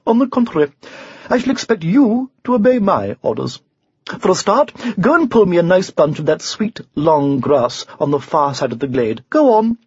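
A man's voice: fairly high pitch, big pitch swings, moderate pace, darkish tone, husky, dry recording, highs cut off, full character voice. A man voicing a vain, bossy young male unicorn with a disdainful, self-important manner in a children's storybook. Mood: haughty, bossy.

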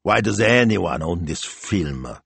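A man's voice: on the low side, big pitch swings, a little slow, slightly rough, no echo, pitched above the performer's own voice, full character voice. A man voicing a shrewd, bossy Italian wife who talks in a practical, decisive, chiding way in an audiobook. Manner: chiding, matter-of-fact, decisive.

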